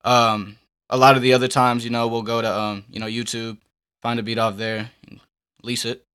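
A young man speaks at an average male pitch as a musician being interviewed. His talk is casual and slangy, loosely strung together with halting turns. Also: flat delivery, fairly bright tone, clear, resonant tone. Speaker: musician guest. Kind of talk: casual chat.

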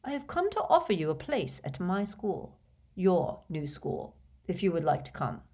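A woman voicing an elderly male wizard headmaster who speaks in a patient, measured, courteous way, read as part of an audiobook. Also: on the low side, sing-song, darkish tone, breathy edge, exaggerated voice. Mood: calm. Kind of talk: audiobook character voice.

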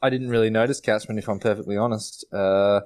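A man's voice: on the low side, steady tone, fast talker, a little mellow. A man giving casual fantasy sport advice as a podcast panellist.

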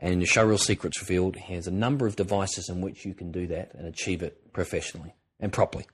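A croaky, husky man with a fairly low voice, co-hosting a casual podcast. He talks earnestly and encouragingly, rambling and restarting his sentences as he gives advice. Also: fairly even intonation, fast talker. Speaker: podcast co-host, presenter coach.

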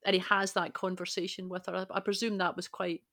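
A woman reflects aloud as a panellist in a podcast conversation. Her voice is a little on the low side, and she talks in a conversational way. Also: level tone, brisk, fairly bright tone.

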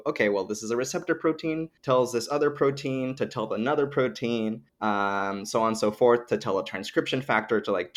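A young man from the Dominican Republic, a podcast guest chatting casually and explaining eagerly, with plenty of "like" as filler. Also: fairly low pitch. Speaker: podcast guest, plant scientist. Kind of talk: casual interview chat.

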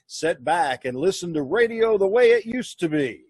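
A male host with a slightly high, husky voice. He gives a casual show introduction over a video call, and the sound is dry and close. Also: sing-song, moderate pace, no room sound.

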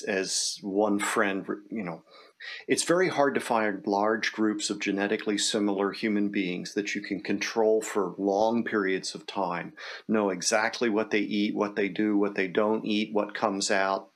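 A man talks as an interviewer in a fairly low voice. His delivery is conversational, with a dry, wry streak of understatement.

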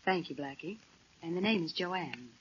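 A woman's voice: on the low side, expressive, moderate pace, close to an everyday voice. An actress playing a pert, polite young woman in a 1940s radio drama. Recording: dull, faintly noisy 1940s radio recording.

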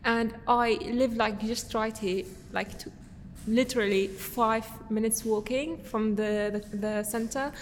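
A woman's voice: a little high, fairly even intonation, a little slow, hardly any pauses, big-room sound, a little hiss or hum. A young woman from Syria, a podcast guest, answering casually with many fillers and restarts.

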